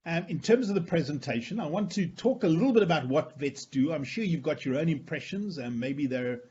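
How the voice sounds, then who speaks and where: Brief: friendly professor with a blended Irish-South African accent, husky, over a lo-fi call. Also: male voice, a little high, lively intonation, a little fast, mellow. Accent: Northern Irish, Irish and South African mix. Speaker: veterinary professor. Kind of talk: webinar presentation.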